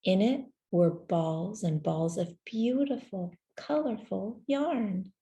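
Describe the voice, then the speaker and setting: A woman narrates a children's picture book aloud. Her voice is mellow and relaxed, sitting at an average pitch. She takes her time, measuring out each phrase gently and leaving deliberate pauses. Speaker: storybook reader. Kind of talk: scripted children's story narration, read aloud.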